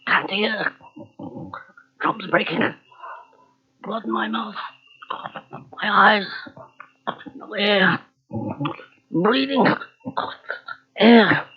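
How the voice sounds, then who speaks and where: A woman's voice: medium pitch, slightly rough, full character voice. A woman playing a desperate trapped victim suffocating without air, gasping and pleading in broken fragments, in old radio horror style. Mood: fading agony. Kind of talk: 1940s radio horror acting. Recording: muffled, band-limited old radio tape.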